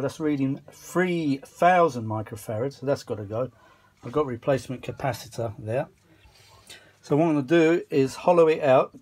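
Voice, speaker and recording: A man's voice: medium pitch, darkish tone. A British man giving a casual, rambling repair commentary to camera, colloquial, with muttered asides and mild grumbling, recorded on a handheld phone. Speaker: hobbyist filming his repair of vintage audio gear. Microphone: handheld phone filming the work.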